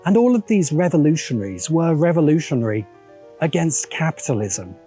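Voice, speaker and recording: Mellow man narrating a video essay. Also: on the high side, big pitch swings, a little fast, faint music behind.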